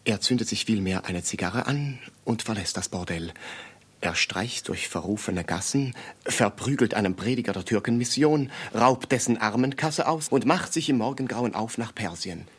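An actor playing a pedantic, obsessive amateur sleuth in a radio play, speaking in formal, precise, declamatory phrases. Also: male voice, on the low side, expressive, normal speed, slightly rough. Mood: earnest, meticulous. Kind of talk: German radio play acting.

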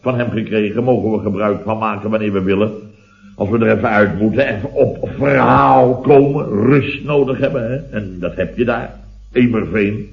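An actor playing a blustering middle-aged building boss who rambles indignantly in a late-1960s Dutch radio comedy serial. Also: male voice, lowish voice, normal speed, vocal fry. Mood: boastful, jovial. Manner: exclamatory, rambling, indignant storyteller with tag words. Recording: muffled old radio recording.

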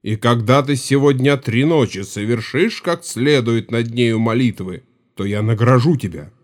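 An actor playing a grieving, stern old Cossack chief in an audio drama, speaking with solemn, mournful authority. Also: male voice, medium pitch, moderate pace, lightly acted. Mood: stern command. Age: older.

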